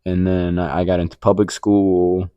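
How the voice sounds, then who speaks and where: A man's voice: deep voice, flat delivery, normal speed, clear, resonant tone, dry recording, muffled recording. A young man from Rico, sharing his faith story in a rambling casual chat full of fillers and restarts. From Puerto Rico. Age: young adult. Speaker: podcast co-host.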